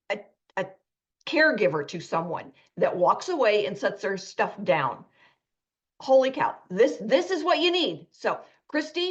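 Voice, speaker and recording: A woman's voice: on the high side, highs cut off. A woman hosting a radio talk show, chatty and anecdotal, acting out quoted lines as she talks.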